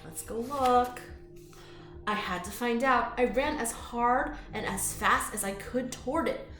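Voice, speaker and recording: A woman reading a picture book aloud to children in an expressive, inviting way, with friendly chatty asides. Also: on the high side, measured pace, soft background music. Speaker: children's storytime reader. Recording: roomy echo.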